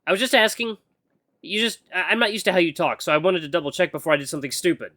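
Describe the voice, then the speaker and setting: A man plays a young, sensitive apprentice runner in an audio story. The voice is high and very animated, stammering and expressive, quick to tip into sweary exasperation. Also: no echo, studio quality. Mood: exasperated.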